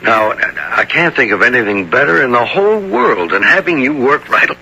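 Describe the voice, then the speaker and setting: A man's voice: medium pitch, big pitch swings, moderate pace, creaky, sharp tone, lo-fi sound, heavily acted. An actor in a 1970s radio drama playing an earnest, practical father who talks in a folksy, coaxing way. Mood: pleading, earnest. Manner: folksy, plain-spoken, coaxing. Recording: faintly noisy old radio broadcast.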